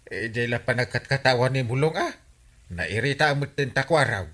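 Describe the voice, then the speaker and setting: An actor with an Ilocano accent playing an exasperated, exclamatory village captain in a comedy radio drama. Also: male voice, mid-range voice, animated, moderate pace, pushed and bright. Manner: exclamatory, repeats interjections.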